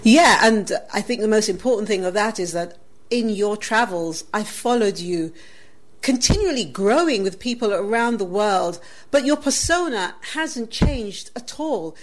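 A woman's voice: medium pitch, expressive, unhurried, rough recording. A woman interviewing a guest she admires, asking questions and offering praise in a conversational way.

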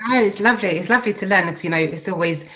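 A woman's voice: mid-range voice, very fast, bright, forceful tone. A woman hosting a podcast interview, asking questions and encouraging her guests with frequent affirmations. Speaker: podcast host. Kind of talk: interview conversation.

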